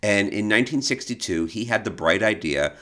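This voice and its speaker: A middle-aged American man with a low-pitched voice co-hosts a conversational podcast. He explains and tells stories at a measured, deliberate gait. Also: expressive, a bit bright. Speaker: podcast co-host. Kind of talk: conversational discussion of illustration history.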